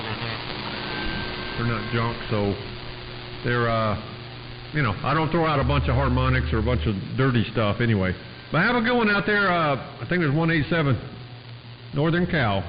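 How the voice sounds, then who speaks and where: Folksy radio operator man, noisy muffled audio. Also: mid-range voice. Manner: folksy, rambling, uses radio lingo. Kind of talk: casual radio chat between operators. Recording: muffled radio transmission with clear static noise.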